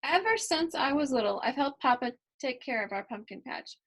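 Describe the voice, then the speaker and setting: Storybook lead: girly seven-year-old voiced by a woman, light higher pitch, enthusiastic and emphatic. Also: expressive, brisk, a bit bright. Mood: cheerful. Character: bubbly, girly, fashion-proud seven-year-old farm girl. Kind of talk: first-person children's storybook narration.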